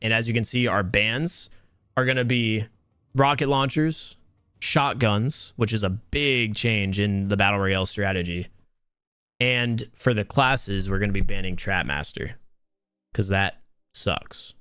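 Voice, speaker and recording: A young man with a smooth, soothing voice casts a mobile game tournament live and talks straight to his chat. He chats loosely and restarts his words as he goes. The sound is close and dry, with no room around it. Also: on the low side, a little slow, no room sound. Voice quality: soothing, relaxed voice. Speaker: tournament host and caster. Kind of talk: live stream esports commentary.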